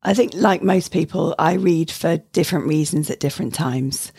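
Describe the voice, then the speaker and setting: A woman's voice: low voice, normal speed, gravelly, a little mellow, husky, dry recording, clean studio sound. A woman giving a prepared book review as a podcast guest, speaking in a reflective, personal way. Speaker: advanced clinical practitioner, podcast guest.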